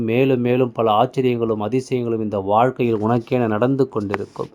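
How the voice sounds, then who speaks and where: The smooth, mid-pitched voice of a man on a morning devotional channel, urging each listener on like his own child. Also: a little mellow, dull audio. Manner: exhorting, repetitive, addressing listener as a child. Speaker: devotional channel speaker delivering messages in a deity's voice. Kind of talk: spiritual motivational monologue.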